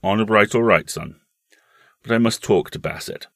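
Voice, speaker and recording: A jovial gentleman uncle, acted out by a male narrator for a storybook. He talks in a hearty, booming, teasing way, amused by the young. The recording is very dry. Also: moderate pace, dry recording. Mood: probing.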